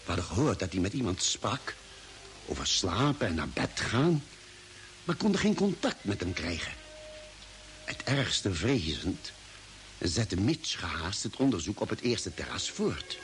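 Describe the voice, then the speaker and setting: An actor playing an expedition doctor narrates a space voyage in a measured, formal storytelling manner for a radio drama. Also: male voice, on the low side, very animated, faint music behind. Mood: grave, measured. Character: male expedition doctor recounting a Mars voyage.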